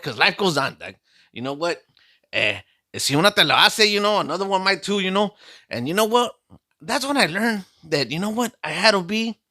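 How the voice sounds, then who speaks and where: A man's voice: medium pitch, pushed and bright, no room sound, studio quality. A man playing a boastful, heartbroken streetwise vato, telling a comic story in slangy talk. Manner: slangy, calls listener "dog" constantly, boastful storytelling. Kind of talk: comic character monologue.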